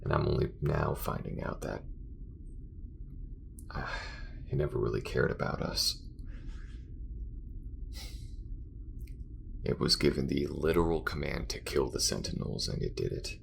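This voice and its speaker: A man voicing a gruff, guarded alien crewmate with a very deep, gravelly, halting mutter in an intimate ASMR roleplay. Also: exaggerated voice. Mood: weary, disillusioned.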